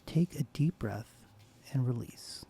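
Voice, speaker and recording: A man hosting a soft-spoken ASMR guided meditation, speaking slowly and gently, often dropping into a whisper. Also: mid-range voice, expressive, creaky, slight background noise. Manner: slow, soft, partly whispered. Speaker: ASMR host.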